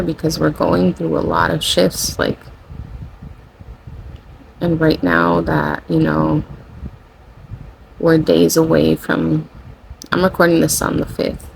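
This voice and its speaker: A tired, low-energy spiritual channeler, a native Spanish speaker, talking casually and rambling, often saying "you know" and correcting themself. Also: gravelly, slight background noise, poor audio. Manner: rambling, self-correcting, with frequent "you know" and light laughter. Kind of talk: casual spiritual vlog monologue.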